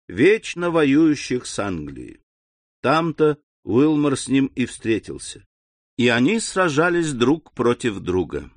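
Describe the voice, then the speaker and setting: A man narrating a classic novel as an audiobook, in an even, measured reading on a close studio mic. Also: medium pitch, dry recording.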